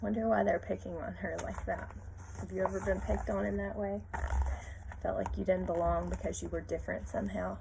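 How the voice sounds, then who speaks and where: Lady doing an animated storytime read aloud, bit of vocal fry, some background noise. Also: medium pitch, a little fast. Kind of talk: expressive picture-book read-aloud with asides to young listeners.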